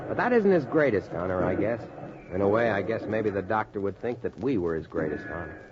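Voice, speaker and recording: An actor playing a plain-spoken, heartfelt American storyteller in 1940s radio-drama style, with a folksy, emphatic manner. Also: male voice, lowish voice, lively intonation, brisk, close to an everyday voice. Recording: noisy, dull 1940s radio recording.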